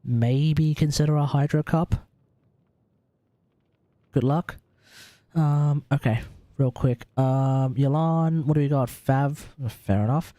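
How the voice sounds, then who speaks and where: A man's voice: fairly high pitch, slow pace, pauses often, warm tone, no room sound. A man with an Australian accent chatting casually as a game livestream host, informal and sweary as he thinks aloud. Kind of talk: casual gaming livestream chat, advising on character builds.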